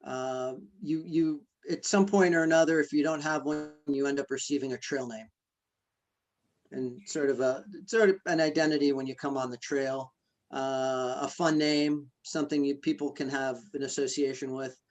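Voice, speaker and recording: A man answering interview questions in a halting, reflective way, with many fillers and restarts. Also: medium pitch, fairly even intonation. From United States. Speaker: guest, ultrarunner. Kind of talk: casual interview conversation.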